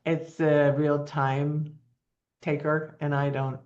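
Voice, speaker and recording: Low-voiced woman in her seventies. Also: a little mellow, muffled recording. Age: 70s. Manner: earnest, plainspoken, with frequent ums. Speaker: nonprofit founder, guest. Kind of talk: casual interview talk.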